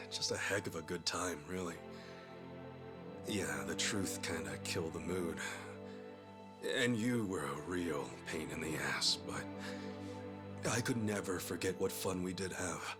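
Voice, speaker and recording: Loyal easygoing friend, American accent, deepish voice, earnest, informal, video game drama, music underneath. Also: male voice, a little slow, long pauses. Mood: warm, sincere. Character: easygoing, loyal, sincere adult man, a yakuza's forgiving pal. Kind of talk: video game cutscene acting. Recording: music behind the voice.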